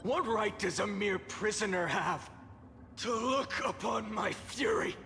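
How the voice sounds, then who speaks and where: Slow, solemn fallen hero. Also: male voice, high voice, exaggerated voice. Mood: furious. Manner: solemn, grandiose, bitterly scornful. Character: weary, defiant male fallen hero burdened by countless lifetimes. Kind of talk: dramatic scripted video game acting. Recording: loud music behind the voice. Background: game soundtrack music.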